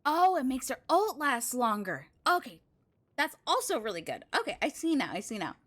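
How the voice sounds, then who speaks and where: A woman live streaming a video game, chatting casually with viewers and thinking aloud in an expressive, exclamatory way. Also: high voice, moderate pace. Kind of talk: casual chat with stream viewers while gaming.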